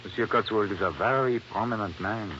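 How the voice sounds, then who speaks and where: An actor playing a formal, condescending police inspector in an old radio drama. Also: male voice, lowish voice, fairly quick, natural voice. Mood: veiled warning. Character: suave, authoritative Haitian police inspector, veiled menace. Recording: muffled, faintly noisy old radio recording.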